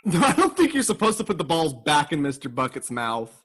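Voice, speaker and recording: A man with a high, very animated voice chats casually on a comedy podcast. His pitch swings widely as he jokes and slips into little mimicked quotes. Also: fairly quick, slightly rough. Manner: jokey, acts out quotes.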